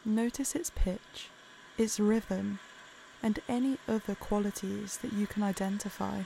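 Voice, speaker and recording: A woman narrating a guided meditation in a calm, soothing, unhurried way. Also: mid-range voice, vocal fry, slight background noise, poor audio.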